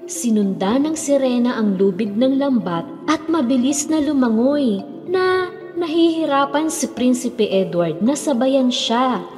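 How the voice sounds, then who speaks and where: A woman's voice: high-pitched, lively intonation, a little slow, darkish tone. A woman narrating a children's fairy tale as a storyteller, in a scripted style. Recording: long reverb, music behind.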